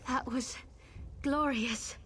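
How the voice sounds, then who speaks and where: An actress playing an earnest, devout young heroine in a fantasy video game, speaking with eager resolve. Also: female voice, high-pitched, fairly even intonation, slow, a bit bright, husky, exaggerated voice. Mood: awed, eager.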